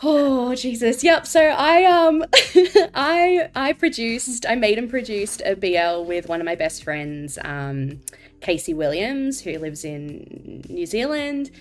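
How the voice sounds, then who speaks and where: A woman's voice: fairly high pitch, expressive, slow. A woman with an Australian accent, a podcast co-host chatting casually, giggling, self-deprecating and swearing freely. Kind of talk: casual interview chat.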